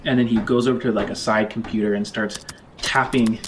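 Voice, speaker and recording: A man narrating a tabletop game as game master, describing scenes in halting, improvised phrases. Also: fairly low pitch, steady tone, background noise. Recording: background music under the voice. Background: music.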